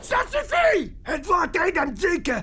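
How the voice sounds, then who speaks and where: A touchy cartoon devil with a very high, piercing voice and a Quebec French accent. He is a boastful, bombastic prince of darkness who flusters easily and protests indignantly. There is faint noise behind him. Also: lively intonation, normal speed, creaky, bright, forceful tone, exaggerated voice. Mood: furious. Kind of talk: comic cartoon villain acting.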